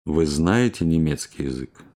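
An extremely low, deep voice reads language drill lines. Each word is delivered slowly and with careful articulation, as in a studio lesson. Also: expressive, warm tone. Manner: slow, clear, carefully articulated. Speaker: language course speaker.

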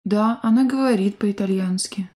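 A woman reading a scripted language drill, clear and deliberate, speaking evenly. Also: mid-range voice, dark tone.

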